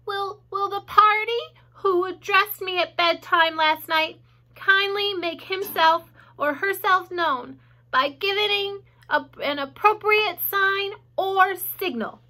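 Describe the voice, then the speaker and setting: A woman's voice: extremely high pitch, lively intonation, normal speed, sharp tone, pitched above the performer's own voice, full character voice. A woman voicing an eager, polite young male pig in a storybook, with formal, pleading manners. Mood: loud, determined.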